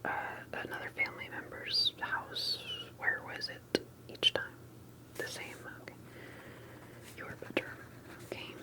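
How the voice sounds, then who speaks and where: Hushed, breathy whispering ASMR interviewer. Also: very high-pitched. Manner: hushed, deliberate, halting questioning. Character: earnest, cautious alien abduction researcher and survivor. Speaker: ASMR performer playing an interviewer.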